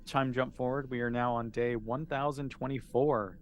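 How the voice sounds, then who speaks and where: A man's voice: mid-range voice, fairly even intonation, moderate pace. A man acting as game master, narrating a tabletop roleplaying game in a casual, amused, improvised way. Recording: noticeable background noise.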